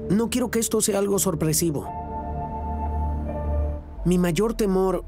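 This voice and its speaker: A man's voice: high-pitched, unhurried. An actor with a Latin American Spanish accent dubbing a lovestruck, earnest middle-aged man in a reality show. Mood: uneasy, earnest. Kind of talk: dubbed reality show talk and confessional. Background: background music.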